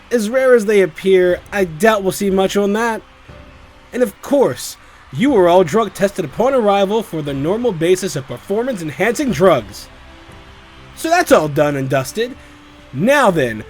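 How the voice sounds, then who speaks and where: A man voicing a cheeky, showy tournament announcer in an animated fiction, hyping fights to the crowd as a chatty showman. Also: sits high. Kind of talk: theatrical arena fight commentary.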